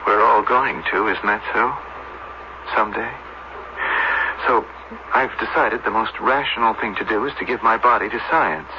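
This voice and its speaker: An actor playing an earnest young space colonist in his twenties in an old-time radio drama, halting and polite, with a stammer. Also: on the low side, close to an everyday voice. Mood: earnest, resigned. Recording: muffled, noisy 1970s radio broadcast.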